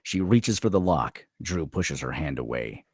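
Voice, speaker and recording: A man's voice: rumbling low, expressive, a little slow, a little mellow, slightly rough, no echo, studio quality. A man reading stage directions as dramatic scripted narration at a screenplay table read.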